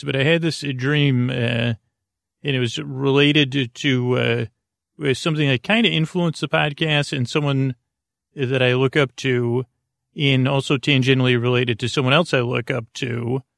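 A man hosting a sleep podcast, talking in a rambling, halting monologue that circles back and repeats itself. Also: mid-range voice, steady tone, gravelly, a bit bright, dry recording, studio quality. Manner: meandering, halting, repetitive. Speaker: podcast host.

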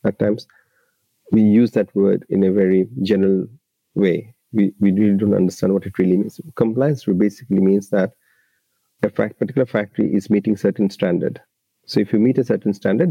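A man's voice: lowish voice, a little fast, gravelly, mellow, husky, no room sound, poor audio. A man from Bangladesh, speaking as a labour rights advocate and guest, giving earnest, explanatory interview answers with frequent restarts.

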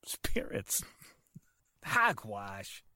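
A man's voice: fairly low pitch, wildly swooping pitch, drawn-out words, pushed and bright, no room sound, in a whisper, full character voice. A man playing a skeptical, impatient nephew in a radio drama, blunt and scornful.

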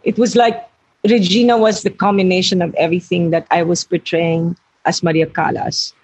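An older woman speaks with a husky, slightly breathy voice. She talks earnestly and reflectively about her work as an actress, searching for words and correcting herself as she goes. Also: on the low side, level tone, moderate pace, a little mellow, low-quality recording. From Philippines. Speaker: actress, interview guest. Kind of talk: reflective interview talk.

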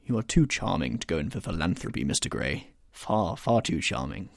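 A man's voice: deep voice, sing-song, normal speed, creaky, no room sound, studio quality, pitched below the performer's own voice, full character voice. A man playing a languid, witty aristocrat.

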